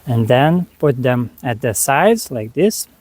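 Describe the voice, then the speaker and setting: Very slow-paced man guiding meditation. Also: mid-range voice, sing-song, darkish tone, slight background noise. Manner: unhurried, short phrases with long pauses. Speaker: meditation guide. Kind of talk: guided meditation, spoken slowly to the listener.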